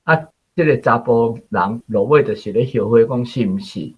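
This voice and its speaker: A man's voice: medium pitch, moderate pace, slightly rough, dull audio. A man with a Taiwanese accent in casual, conversational discussion, often ending phrases with little tag particles. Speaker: radio show guest or host discussing the story.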